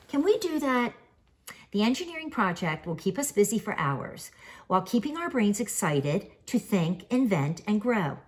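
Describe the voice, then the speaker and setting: An older woman reading a children's storybook aloud in a theatrical, sing-song way with emphatic questions and answers. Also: medium pitch. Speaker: author reading her picture book aloud.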